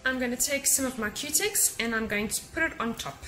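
A woman in her thirties chats through her kitchen clean-out, with a slightly high voice and a friendly, practical way of passing on tips. She talks close to a camera mic in a home kitchen. Soft music plays faintly underneath. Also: brisk. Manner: chatty and practical, gives tips directly to viewers. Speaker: cleaning vlogger. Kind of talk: casual how-to narration to camera.

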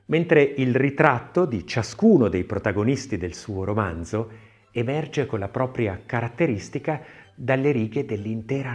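Expressive male narrator with lively intonation. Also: lowish voice. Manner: expressive, measured literary reading with deliberate phrasing. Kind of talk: scripted audiobook narration of an art-history essay, with recited verse.

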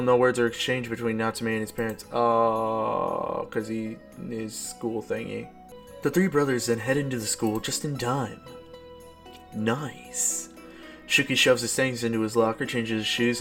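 A man's voice: medium pitch, fairly even intonation, a little slow, gravelly, fairly bright tone. A young adult man reading a visual novel aloud as a streamer, with casual, slangy, exclamatory reactions. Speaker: let's play streamer. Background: background game music.

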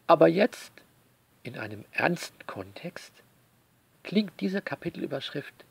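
A man delivering a sarcastic spoken essay to listeners, theatrical and mock-indignant, leaning on words with drawn-out emphasis. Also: fairly high pitch, big pitch swings, gravelly, breathy edge. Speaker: author commenting on their own novel.

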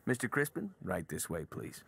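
A man's voice: sits low, big pitch swings, normal speed, creaky, fairly bright tone, breathy edge, exaggerated voice. An actor playing a courteous but firm club doorman in a video game, speaking formally and deferentially. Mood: polite, firm. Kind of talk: video game cutscene acting.

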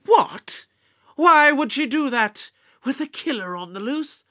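Brisk, authoritative space detective in an audio drama. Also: mid-range voice, lively intonation, a bit bright. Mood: exasperated.

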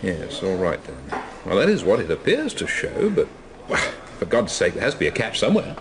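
An actor playing a troubled, sceptical British man in a radio drama, measured and reluctant in his speech. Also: sits low, huge pitch swings, background noise, exaggerated voice. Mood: doubtful, uneasy.

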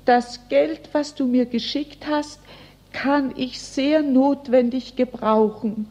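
An actress playing a plain soldier's wife in a radio play, voicing a letter with deliberate, simple phrasing. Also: female voice, high voice, steady tone, mellow. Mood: matter-of-fact.

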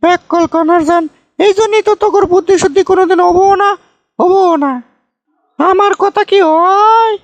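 Bossy, boastful cartoon auntie: piercingly high pitch, dry close sound, Bogra accent, folksy scolding delivery. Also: moderate pace, no echo. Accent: northern Bangladeshi (Bogra). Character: bossy scolding village aunt, boastfully foolish, middle-aged woman. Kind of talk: comic cartoon dialogue, rambling storytelling.

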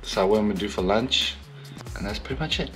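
A man in his thirties from South Africa with a softened South African accent, filming a casual video diary on a phone held at arm's length. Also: fairly low pitch, fast talker, soft background music. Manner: relaxed, matter-of-fact self-filming. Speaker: professional baseball pitcher vlogging. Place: hotel room and city street. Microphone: phone camera at arm's length.

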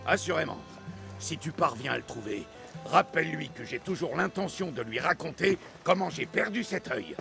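An actor playing a grizzled older warrior and storyteller in a French video game dub, speaking in a measured, reflective way. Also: male voice, fairly high pitch, expressive, gravelly, sharp tone, exaggerated voice. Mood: hearty. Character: grizzled old one-eyed Greek warrior, a storyteller. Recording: music behind the voice.

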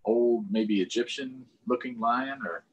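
A man's voice: mid-range voice, takes it slowly, husky, muffled recording. A middle-aged man with an American accent hosting a children's storytime, reading aloud expressively with chatty explanations. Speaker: storytime host. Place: small room at home, indoors. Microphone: computer or tablet on a video call.

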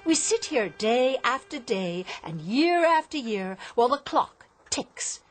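Rebellious daughter, high voice, bitter and theatrical, radio drama with music beneath. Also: female voice, big pitch swings, unhurried. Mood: impassioned, angry. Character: rebellious, frustrated grown daughter of a wealthy inventor.

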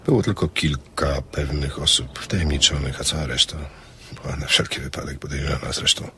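An actor playing a guarded, dryly stern secret operative in a crime story, measured and patronizing. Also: male voice, rumbling low, pushed and bright, a little hiss or hum. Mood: guarded, explaining. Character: guarded man from secret counterintelligence work, stern and dry. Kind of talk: dramatized crime novel.

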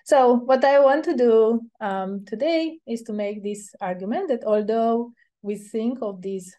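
Mellow-voiced woman lecturing. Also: on the high side. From Romania. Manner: earnest, measured explaining with hesitant pauses. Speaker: invited speaker. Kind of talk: academic webinar talk. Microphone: video call.

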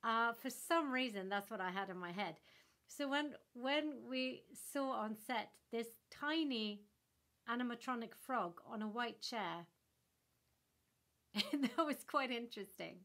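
A woman's voice: fairly high pitch, unhurried. Norwegian-born actress guest in her 30s, eager and gesturing, webcam call from home. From Norway. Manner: enthusiastic, animated with hand gestures. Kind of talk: remote interview answers. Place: home room in front of a floral wall. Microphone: laptop webcam on a video call.

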